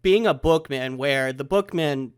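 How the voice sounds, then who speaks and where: A congested man with a fairly high voice hosts a relaxed podcast chat, recorded remotely over the internet. He talks eagerly and often trails off mid-thought. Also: a little slow, smooth. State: congested from a head cold. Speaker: podcast host.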